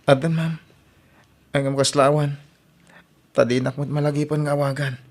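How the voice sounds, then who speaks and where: A man's voice: on the high side, flat delivery, moderate pace, darkish tone, lightly acted. A man acting in an Ilocano audio drama as a brooding, lovelorn, hot-tempered man, with an Ilocano accent. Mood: troubled.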